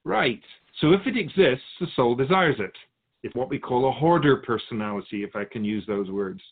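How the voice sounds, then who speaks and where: A man playing a patient, lofty spirit guide who teaches in measured, formal phrases in a scripted audio drama. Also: mid-range voice, lively intonation, narrow, tinny audio. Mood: measured, explanatory. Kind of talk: scripted dialogue in a fiction podcast.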